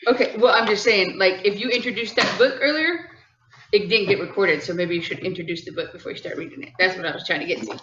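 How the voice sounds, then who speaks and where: A woman's voice: mid-range voice, fast talker, recorded from a distance. A woman chatting casually and off the cuff as she prompts a young reader. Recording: muffled, roomy home recording, faint noise.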